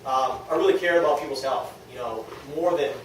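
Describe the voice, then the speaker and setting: A man's voice: medium pitch, fairly quick. A man with a New England accent gives an informal motivational talk to a small group, conversational and self-deprecating, often checking in with his listeners. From New England, United States. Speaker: speaker at a direct-sales team meeting. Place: echoey indoor meeting room with an audience. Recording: very echoey room, distant mic, faint noise.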